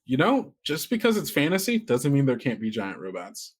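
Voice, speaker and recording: A man's voice: mid-range voice, wildly swooping pitch, moderate pace, slight background noise. A man casually hosting a tabletop game podcast, talking in a loose, rambling way with small stumbles. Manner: rambling, stammering, often chuckling. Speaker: host and game master of an actual-play podcast.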